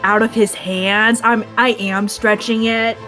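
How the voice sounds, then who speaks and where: A woman's voice: mid-range voice, flat delivery, a little slow, rough recording. A woman chatting casually as a player at a tabletop game. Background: soft music.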